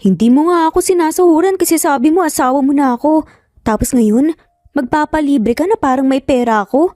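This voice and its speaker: High-voiced sassy Filipino young woman, acted by a narrator. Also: brisk, warm tone, no echo, clean studio sound, lightly acted. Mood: cheeky. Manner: cheeky, bantering. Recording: music behind the voice.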